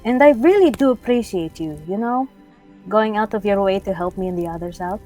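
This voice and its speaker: A woman's voice: mid-range voice, animated, fairly quick, mellow, faint music behind, lightly acted. A woman playing a reserved, vulnerable young woman adventurer in a fantasy audio drama, hesitant and understated. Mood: grateful, awkward. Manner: hesitant, understated, searching for words.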